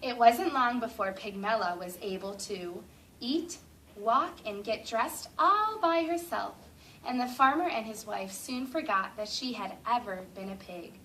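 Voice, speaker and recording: A woman teacher reading a picture book aloud to a class of children in an expressive, drawn-out storytelling way, stopping to ask eager questions. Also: on the high side. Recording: distant classroom camera with faint noise.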